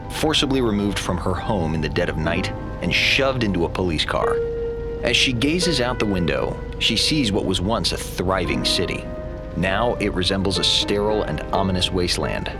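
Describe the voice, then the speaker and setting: A man performing as a dramatic radio show announcer, narrating in a portentous, theatrical way. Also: low-pitched. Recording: loud music behind.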